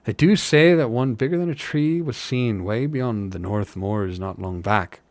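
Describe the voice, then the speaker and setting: A man's voice: on the low side, measured pace, darkish tone, dry recording, close to an everyday voice. Man putting on earnest Irish-sounding working hobbit. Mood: earnest insistence. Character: earnest simple working hobbit, wonder-struck male tale-teller. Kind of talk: audiobook-style fantasy character reading.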